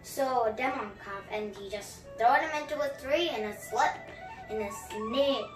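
A young girl reading a storybook aloud and retelling it in chatty, animated asides with emphatic repetition. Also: sits high. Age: child, about 7 to 10. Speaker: story reader, host of a children's story channel. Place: small room at home, indoors. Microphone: camera a few feet away. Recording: roomy, distant mic, music behind.

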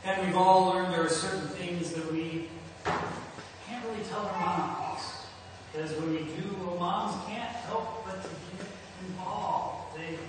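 Male pastor, middle-aged, high-pitched voice, deliberate sermon delivery in a very reverberant church. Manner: measured, deliberate delivery with pauses. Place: indoors at a church pulpit. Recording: echoey hall, distant mic, noisy background.

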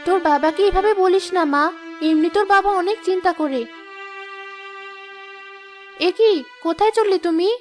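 A woman acts a pious, sensible cartoon mother with a high voice. She talks in a measured, admonishing way, firm but patient. Music is clearly heard behind her. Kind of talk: cartoon voice acting, moral story.

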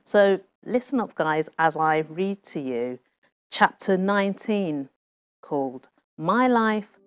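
A middle-aged woman, an author reading aloud from her own memoir in a measured, expressive way. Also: on the low side, dry recording. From Nigeria. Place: small TV studio with bookshelves.

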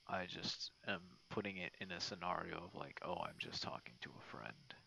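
A man chatting casually in a late-night ASMR style, rambling and halting in an informal way. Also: sits low, animated, gravelly, sharp tone, a little hiss or hum. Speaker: ASMR roleplay creator. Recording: dull, muffled lo-fi audio.

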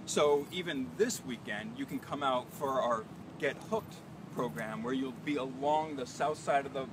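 A man's voice: medium pitch, moderate pace. A man in his thirties walks through an outdoor vegetable garden, explaining the crops with easy enthusiasm. He is recorded on a phone held a few feet away. Insects and distant traffic hiss steadily behind him. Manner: enthusiastic, explanatory, walking and pointing at plants. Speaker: urban farm manager giving a tour. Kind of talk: live guided tour. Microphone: phone camera a few feet away.

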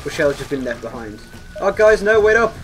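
An excitable young man giving casual commentary while playing a co-op video game with friends. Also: fairly high pitch, a little fast, low-quality recording. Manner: excitable, exclaiming. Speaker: gaming video host. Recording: game sound and music behind voice. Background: video game sound and music.

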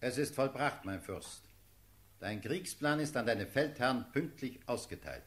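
An actor playing a formal field marshal in a classical radio drama, dictating battle orders in a ceremonious, declamatory way. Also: male voice, medium pitch, animated, unhurried, fairly bright tone. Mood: respectful.

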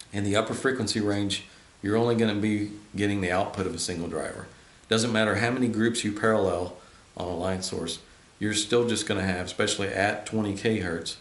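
A man in his fifties giving an informal technical explanation to camera in a measured, stop-and-start way, recorded indoors a few feet from the camera. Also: low-pitched. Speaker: host and loudspeaker designer explaining. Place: indoor listening room with large speakers. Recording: roomy echo, audible hiss.

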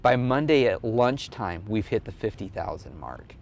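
A man talking casually in an earnest, halting way, thinking aloud as he tells a personal story in an interview. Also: on the low side, big pitch swings, vocal fry. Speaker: amateur cyclist and blogger being interviewed.

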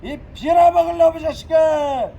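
A man at a street protest, yelling insults with drawn-out angry cries outdoors. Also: extremely high pitch, dull audio. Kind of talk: shouted protest rant.

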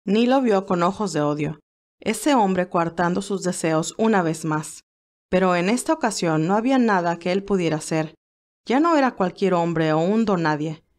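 Low-pitched woman narrating a story. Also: expressive, moderate pace. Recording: music behind the voice. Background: classical music.

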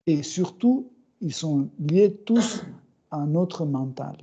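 A middle-aged man giving a scripture class in a small room, speaking slowly and hesitantly with many fillers and soft chuckles. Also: on the high side, lively intonation, breathy edge. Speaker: spiritual teacher. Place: small room with bookshelves, indoors. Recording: dull, muffled recording.

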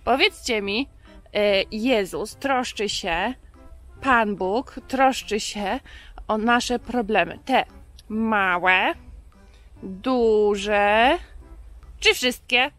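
A woman's voice: medium pitch, very slow, pauses often, a bit bright, soft background music. A woman voicing a cheerful firefighter puppet who playfully asks children quiz questions.

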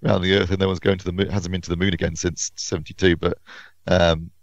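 A man with a very deep, gravelly voice co-hosts a podcast, talking fast and eagerly with frequent laughs. The sound is dry and a little dull and lo-fi. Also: level tone, no echo. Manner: enthusiastic, quick, laughs often. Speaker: podcast co-host, reviewer. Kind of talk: casual chat.

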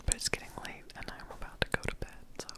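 A woman in her twenties whispering a roleplayed ASMR video diary close to the mic, in a hushed, halting manner. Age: 20s. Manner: hushed, halting, drawn-out delivery. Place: small indoor home studio room. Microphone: close desktop mic with foam windscreen.

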